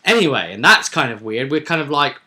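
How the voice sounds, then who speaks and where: Bright-voiced man recapping a tabletop game. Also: medium pitch, big pitch swings, a little fast. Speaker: game master and co-host recapping a session.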